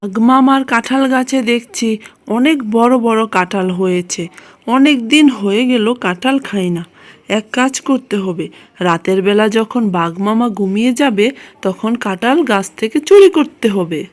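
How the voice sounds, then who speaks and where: A woman's voice: a little high, a little slow, close to an everyday voice. A woman voicing a cunning, cocky male fox thief in a children's cartoon, boastful and breezily dismissive. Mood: scheming. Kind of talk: children's cartoon character acting.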